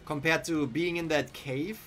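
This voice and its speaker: A young man with a German accent talks through his game in a relaxed, rambling way, often thinking aloud and replying to chat. His voice sits a little high for a man, heard close on a headset mic. Soft game music plays faintly underneath. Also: a little slow. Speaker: streamer. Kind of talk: casual live-stream commentary. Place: small room indoors.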